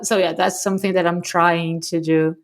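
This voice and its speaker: A woman's voice: lowish voice, normal speed. Brazilian woman co-hosting a podcast chat. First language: Portuguese. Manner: animated, laughs often, self-mocking asides. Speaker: podcast co-host. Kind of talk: casual conversation.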